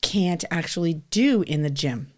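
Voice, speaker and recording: Lowish-voiced woman in casual chat. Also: animated, unhurried. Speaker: podcast participant, former dance teacher.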